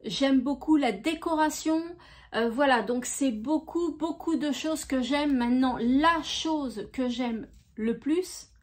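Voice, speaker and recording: A woman from France hosting her own channel, chatting gently through viewer questions with many small hesitations. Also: high voice, slow. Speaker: YouTube crafts channel host. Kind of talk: casual FAQ video answering viewer questions.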